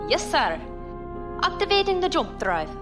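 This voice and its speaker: An actress playing a brisk, dutiful starship ensign in a science fiction audio drama, giving clipped military reports. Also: female voice, very high-pitched, sing-song, over music, full character voice. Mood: businesslike.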